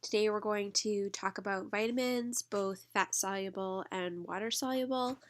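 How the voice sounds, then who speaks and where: A woman instructor giving a recorded lecture while stuffed up from allergies, filling pauses with lots of "um". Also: medium pitch, a little hiss or hum. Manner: lots of "um" fillers, relaxed explaining. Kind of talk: recorded lecture over slides.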